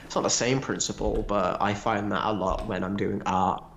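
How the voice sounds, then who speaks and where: A man co-hosting a casual livestream, answering viewer questions with animated, laughing asides. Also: lowish voice, normal speed, creaky, roomy sound, slight background noise.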